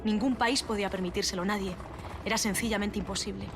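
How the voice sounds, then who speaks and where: Grave, creaky-voiced woman narrator played by an actress. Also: mid-range voice, fairly even intonation, natural voice. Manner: solemn, measured, deliberate pauses. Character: grave woman narrator looking back on war. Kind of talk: dramatic scripted monologue in an audio fiction series. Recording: music behind the voice. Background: music.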